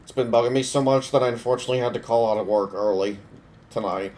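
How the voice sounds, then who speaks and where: A young man with a cold, his voice stuffy, giving relaxed, offhand commentary while playing a mobile game. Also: medium pitch, quick pace. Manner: relaxed, offhand, thinking aloud. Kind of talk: casual gameplay commentary.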